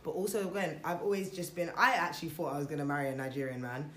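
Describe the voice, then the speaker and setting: A woman's voice: low voice, steady tone, fast talker. A young adult woman in a casual group chat, thinking aloud about family and heritage, with plenty of fillers and restarts. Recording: roomy echo.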